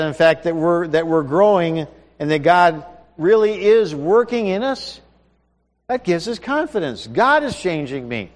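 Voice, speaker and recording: A man with a high voice preaches a sermon, talking to his listeners like a neighbor and asking them one question after another. His voice carries through a hall with a long reverb. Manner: conversational preaching with rhetorical questions.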